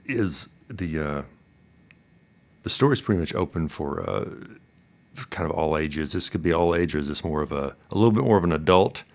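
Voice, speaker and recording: A man with a deep, low voice hosts an interview podcast. He speaks conversationally and a little haltingly, circling through his questions as he asks them. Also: expressive.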